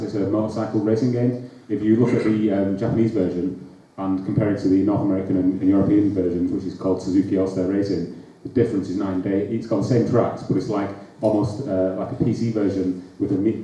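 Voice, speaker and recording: UK fan-site guest, flat low voice, halting delivery, muffled room sound. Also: male voice. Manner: hesitant, many fillers. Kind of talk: convention panel talk. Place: large convention room with audience. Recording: echoey dull hall recording from far off.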